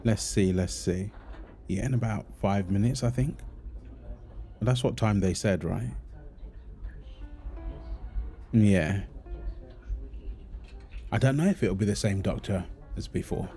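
A relaxed young husband and father, acted by a man, speaks in a lowish, mellow voice at an easy, slow pace. He is gentle and reassuring, lightly teasing his partner and soothing the baby, in the natural style of an intimate audio roleplay. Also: faint music behind.

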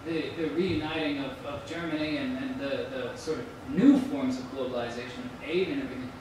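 A man's voice: mid-range voice, a little fast. A man in the audience putting a discursive, informal question to a speaker, correcting himself as he goes. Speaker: audience member asking a question. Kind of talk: conversational question from the floor. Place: indoor lecture room. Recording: very echoey, noisy room, distant camera mic.

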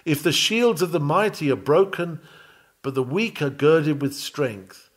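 A man's voice: on the high side, lively intonation, a little slow. A man reading a historical sermon aloud as a preacher would, in an earnest, formal, rhetorical manner. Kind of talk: sermon read aloud, solemn measured preaching.

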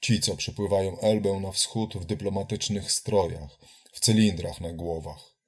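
A man's voice: low-pitched, steady tone, normal speed, a little mellow, husky. A man giving a dramatic reading of a political essay in a solemn, accusatory, declamatory manner. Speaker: reader of political writings.

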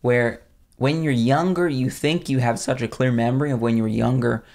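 A young man with a slight Southern accent and a gravelly, creaky voice, talking in an easygoing, rambling way on a podcast. He is recorded close on a studio mic in a small room, at an ordinary male pitch. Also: fairly even intonation, normal speed, a little mellow. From Pennsylvania, United States. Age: young adult. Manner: easygoing, rambling, restarts thoughts. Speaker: podcast co-host. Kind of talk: casual chat. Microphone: studio mic on boom arm.

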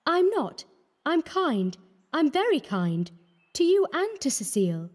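A man reading an audiobook, voicing an earnest, pleading young woman of the artists' quarter in a soft, reproachful manner. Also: huge pitch swings, a little slow, exaggerated voice. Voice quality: high and fluttering.

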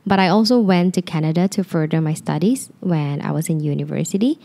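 A young woman from Malaysia with a Malaysian English accent softened by North American speech, hosting a casual solo podcast in an open, confiding way. She sits on a living room sofa and talks into a handheld microphone. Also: sits low, brisk, gravelly. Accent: Malaysian English with North American influence. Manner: open, confiding, sometimes rambling. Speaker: podcast host.

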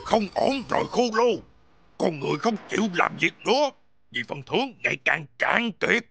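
An actor voicing an anxious, fretful villain's henchman creature in a cartoon, with a creaky voice. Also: high voice, sing-song, moderate pace, a bit bright, slight background noise, full character voice. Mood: worried. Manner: fretful, urgent.

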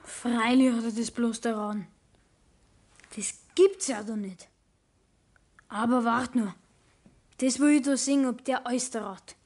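A man's voice: very high voice, fairly even intonation, measured pace, pauses often, a little mellow. A young man playing a sulky, defiant teenage apprentice with a Bavarian accent in a 1960s German radio play.

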